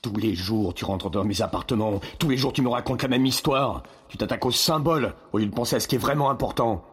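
An actor playing an aging Roman ruler, proud, weary and commanding, in a French audio drama. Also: male voice, mid-range voice, fast. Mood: angry, imperious. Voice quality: husky, rough. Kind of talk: French audio fiction acting.

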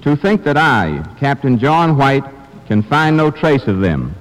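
A man's voice: medium pitch, very animated, a little slow. A man reading aloud formally as a guest on an old-time radio show. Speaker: mayor, guest reader. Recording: old radio recording, echoey hall.

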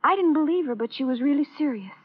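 An American actress playing an earnest, starry-eyed high school girl who confides naively in an old radio drama. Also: lively intonation, fast talker. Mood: proud, wistful. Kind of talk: 1950s radio drama acting. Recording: dull old radio recording.

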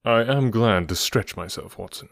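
A man voicing a calm, urbane Victorian gentleman detective with a nonchalant manner, in an audiobook story. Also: fairly low pitch, normal speed, creaky, dry recording, studio quality. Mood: composed, matter-of-fact. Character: tall, keen, composed Victorian gentleman detective.

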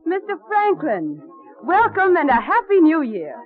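A gracious colonial hostess in an old radio play. Her voice is very high, courteous and sociable. It sounds thin and muffled, with faint music. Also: huge pitch swings, measured pace, full character voice. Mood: gracious welcoming. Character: gracious colonial wife and hostess, gently teasing. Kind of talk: vintage radio drama acting.

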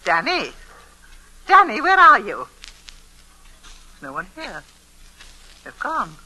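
A woman's voice: high voice, huge pitch swings, slow pace, bright, forceful tone, husky, full character voice. An actress playing a fretful, needy older woman in old-time radio melodrama, overwrought, quavering and repeating herself. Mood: lonely dread. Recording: noisy vintage radio recording.

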